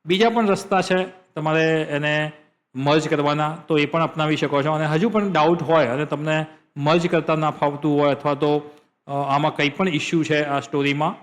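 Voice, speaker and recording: A man's voice: on the high side, little pitch movement, moderate pace. A man with a Gujarati accent hosting a screen-share tutorial, explaining step by step and repeating phrases for emphasis. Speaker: tutorial host.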